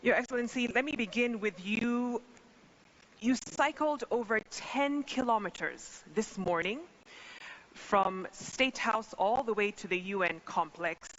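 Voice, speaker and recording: A woman's voice: on the high side, unhurried, dull audio. A woman moderating a formal panel, addressing dignitaries in a polished manner. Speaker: event moderator. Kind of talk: formal event hosting.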